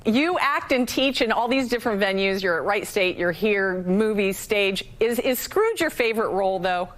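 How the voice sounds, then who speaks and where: A woman's voice: mid-range voice, animated, moderate pace, fairly bright tone. A woman interviewing as a TV host in a friendly, informal, chatty broadcast style. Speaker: TV news host interviewing a guest.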